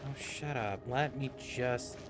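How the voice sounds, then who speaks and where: A man's voice: medium pitch, slow. A man giving casual live-stream game commentary, grumbling and swearing. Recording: game music behind the voice.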